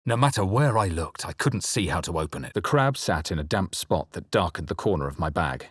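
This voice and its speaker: A male actor playing a brooding fantasy adventurer in a role-playing game, with a husky voice and a measured, dramatic delivery. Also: sits low, lively intonation, dry recording, clean studio sound. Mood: grave, tense. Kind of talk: scripted game voice lines and first-person narration.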